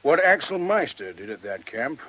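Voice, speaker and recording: A man's voice: fairly high pitch, big pitch swings, normal speed, bright, forceful tone, exaggerated voice. A man playing a worldly, cynical army major in a radio play, with a casual, folksy manner. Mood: grave, insistent. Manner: casual, wry, folksy phrasing. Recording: noisy old radio drama recording.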